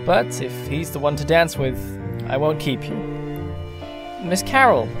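Well-bred young gentleman, music behind. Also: on the high side, lively intonation, measured pace. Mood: cool, teasing. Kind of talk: audio drama acting.

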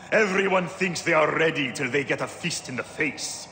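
An actor playing a jaded, crude gladiator arena master in a video game, with a sardonic, theatrical, dismissive way of talking. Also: male voice, a little high, normal speed, sharp tone, slight background noise. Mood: stern, mocking. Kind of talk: video game character acting.

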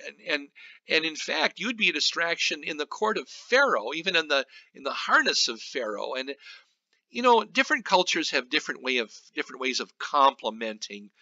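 Man, pastor, Bible study commentary, higher-pitched voice, very bright and sharp, halting and reflective, dry slightly dull recording. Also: big pitch swings, no echo. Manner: halting, reflective teaching with scripture quotations.

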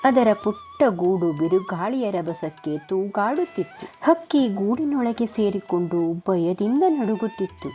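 Slow, mellow woman storyteller. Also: mid-range voice, animated, faint music behind. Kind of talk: narrating a children's moral story.

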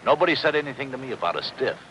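An actor playing a cynical, world-weary, money-minded middle-aged deep-sea diver, wisecracking in a 1950s television crime drama. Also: male voice, animated, very fast, a bit bright, slight background noise, lightly acted, low-quality recording. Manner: sardonic, wisecracking.

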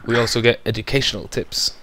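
A man's voice: mid-range voice, steady tone, quick pace, sharp tone, slight background noise. A man with a Gibraltarian accent, making wry, teasing asides during a gaming video. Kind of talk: casual gaming chat.

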